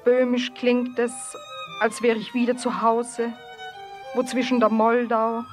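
A woman's voice: a little high, little pitch movement, measured pace. A woman solemnly reciting a poem. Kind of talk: poetry recitation. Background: string music.

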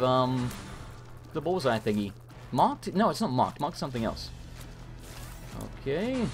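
A man commentating a live gameplay stream, hoarse and losing his voice, talking frantically and swearing often. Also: on the high side. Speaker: gaming streamer.

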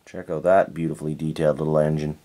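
Low-voiced man musing over toy cars. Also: a little hiss or hum. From Canada. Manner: relaxed, unhurried, thinks aloud and corrects himself. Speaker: toy collector reviewing cars. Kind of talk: unscripted hands-on toy review. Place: indoors at a tabletop diorama.

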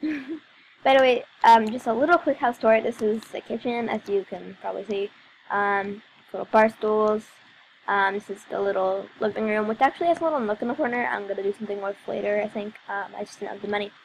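A woman's voice: mid-range voice, fairly quick, gravelly, a little hiss or hum, rough recording. A young woman casually narrating a life-sim game as host, chatty and giggly with frequent ums and asides. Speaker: let's play host. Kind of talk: casual gameplay commentary.

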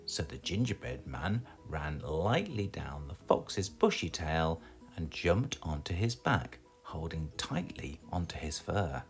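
A British man reading a children's storybook aloud in an expressive, scripted storytelling style. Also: a bass voice, slow, faint music behind. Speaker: storybook reader.

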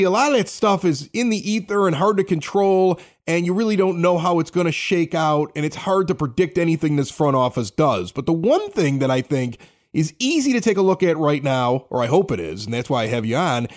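A man hosting a sports podcast, speaking in a conversational, presenter-like way. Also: on the high side. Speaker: podcast host. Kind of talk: sports talk and sponsor reads.

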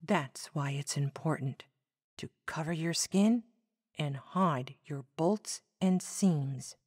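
A woman playing a gently instructive monster mother, speaking calmly in an audio drama. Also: low-pitched, slow pace, breathy edge, heavily acted. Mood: gentle, instructive.

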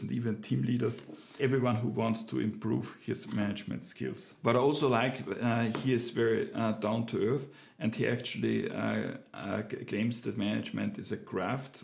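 Hesitant guy, bit of vocal fry, middle pitch, chatting to camera at his desk. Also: fairly even intonation, moderate pace. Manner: hesitant, many fillers and restarts. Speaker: business owner reviewing a book. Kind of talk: casual unscripted talk to camera. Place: home office with bookshelves, indoors.

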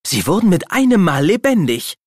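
A man narrating a children's audio play as an expressive, dramatic storyteller. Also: high voice, moderate pace, dry recording, clean studio sound.

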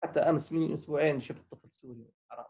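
A man's voice: fairly high pitch, steady tone, racing, mellow, breathy edge. A man lecturing on workplace safety over a video call, using personal examples and many fillers. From Syria. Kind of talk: online lecture, answering questions.

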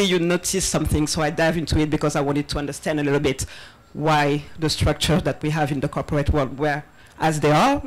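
A woman's voice: low-pitched, rough recording. A woman in her thirties, born in Senegal and raised in France, giving a conference talk into a handheld mic, a little nervous, with many ums. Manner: nervous, laughing between points, many ums. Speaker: conference speaker on diversity hiring. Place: large event space, partly open-air, with audience. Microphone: handheld microphone.

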